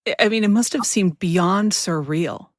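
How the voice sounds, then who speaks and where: A woman's voice: medium pitch, moderate pace, no room sound. A woman hosting a casual conversational interview, informal and responsive to what she hears. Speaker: podcast host, interviewer.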